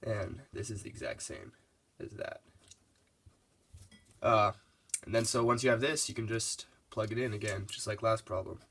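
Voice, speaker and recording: A man's voice: on the low side, normal speed, pauses often, a bit bright, slightly rough. A congested young man giving a casual, laid-back math lesson over his worked problems. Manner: laid-back, loose and casual, with many fillers.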